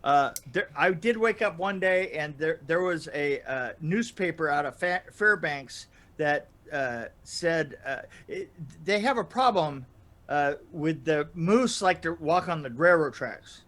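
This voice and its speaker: An older man telling anecdotes casually in a rambling, halting way, chuckling as he goes. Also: on the high side, lively intonation, a bit bright. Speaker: podcast storyteller guest. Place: indoor room on a video call.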